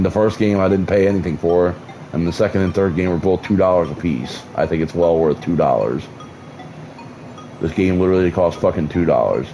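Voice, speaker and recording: A man streams a game, chatting in a deep, mellow voice that sounds a bit worn, as if he is losing it. He rambles and restarts his sentences, swearing casually. Faint music plays underneath. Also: rough recording. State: losing his voice, mouth numb. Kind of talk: casual gameplay commentary.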